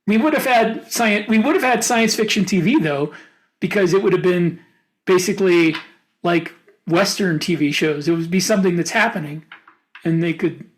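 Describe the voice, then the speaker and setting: A man co-hosting a podcast, talking casually with others about science fiction. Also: sits high. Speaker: podcast co-host.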